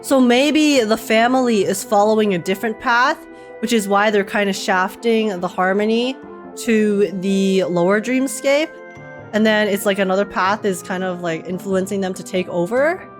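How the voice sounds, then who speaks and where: A woman streamer giving casual live commentary on a game story, thinking aloud and quick to giggle. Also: mid-range voice, faint music behind.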